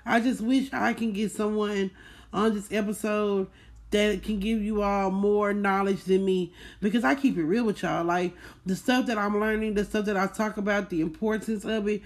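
A woman's voice: medium pitch, steady tone, moderate pace. A woman hosts a casual book club podcast, talking in a folksy, emphatic way and repeating key words for stress. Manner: folksy, addresses listeners as y'all. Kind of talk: casual chat, recapping a book.

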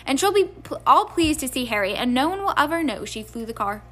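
A woman's voice: sits high, big pitch swings, brisk, bright, forceful tone, full character voice. A woman voicing a chatty, mischievous teenage boy in an audiobook, eagerly explaining things. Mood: scheming, eager. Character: teenage wizard boy, chatty, mischievous schemer among brothers.

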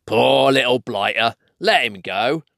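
Man putting on a gruff, beery troll. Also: medium pitch, animated, measured pace, fairly bright tone, no echo, clean studio sound. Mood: beery pity. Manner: rough, slangy, blunt. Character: fair-sized male troll, gruff, beery, slow-witted, grudgingly soft-hearted.

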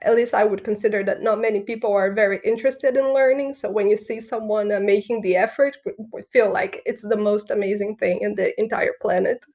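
A woman's voice: medium pitch, fairly even intonation, fairly quick, few pauses, darkish tone, lo-fi sound. A young woman from Brazil, a Portuguese speaker, chatting casually as a student guest on a podcast. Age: young adult. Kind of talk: casual chat.

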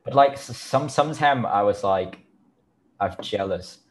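Male podcast host, native Vietnamese speaker with Vietnamese accent, deep voice, informal conversational delivery. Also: animated, unhurried. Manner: informal, non-native grammar. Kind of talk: casual chat.

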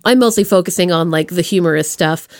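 A woman's voice: lowish voice, quick pace, a little mellow, dry recording, clean studio sound. A woman hosting a podcast, interviewing in a casual, chatty style. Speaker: podcast host.

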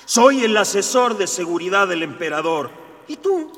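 A naive, bumbling hero with a Mexican accent in a comic radio play. He is fairly high-voiced and lively in pitch, hesitant yet self-important. The sound rings in a long hall. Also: normal speed, heavily acted. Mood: indignant. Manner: hesitant, stammering, indignant. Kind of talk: comic radio drama acting.

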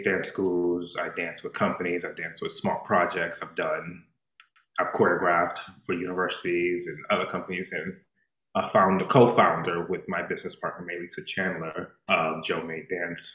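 A man from Boston who grew up speaking Spanish, a guest choreographer talking casually and reflectively, pausing often with fillers. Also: sits low, a bit bright. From Boston, Massachusetts. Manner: unhurried, reflective, many fillers and restarts. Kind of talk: casual interview chat. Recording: echoey room.

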